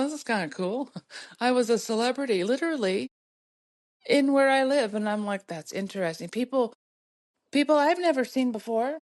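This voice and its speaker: A middle-aged woman hosting her own podcast, talking in a soothing, encouraging way and laughing often as she urges listeners to keep going. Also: a little high. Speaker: podcast host. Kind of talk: motivational solo podcast talk.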